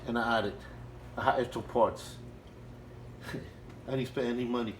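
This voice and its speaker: A man with a non-native English accent and a middle-pitched voice talks through his home setup in a halting, repetitive way, restarting phrases and sounding earnest. He records on a phone he holds while filming, and a faint hum sits behind him. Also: steady tone. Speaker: hobbyist vlogger. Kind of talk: casual home video walkthrough. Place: home, beside an aquarium indoors. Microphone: phone held by the speaker while filming.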